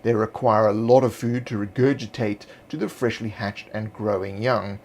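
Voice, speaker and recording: A man's voice: on the low side, moderate pace, a little hiss or hum. Man narrating a fantasy monster guide. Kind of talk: scripted narration, descriptive bestiary entry.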